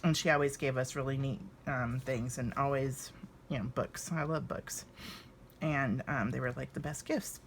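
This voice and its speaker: A woman's voice: a bass voice, steady tone, moderate pace, slight background noise. An American woman in her fifties doing an informal children's read-aloud, with hesitant, rambling asides. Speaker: story reader for children.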